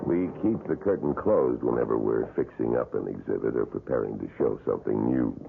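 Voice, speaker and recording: An actor playing a politely grim wax museum attendant telling a haunted tale in a gravelly, husky voice, in old-time radio drama style. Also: male voice, rumbling low, fairly quick, music in the background, full character voice. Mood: polite, somber. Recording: tinny, band-limited old radio broadcast.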